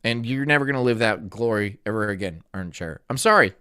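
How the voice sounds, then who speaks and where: A young man from Florida chatting casually on a live stream, rambling through jokes and deadpan absurd asides. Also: lowish voice, quick pace, smooth, no echo. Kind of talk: casual live-stream chat reacting to a video.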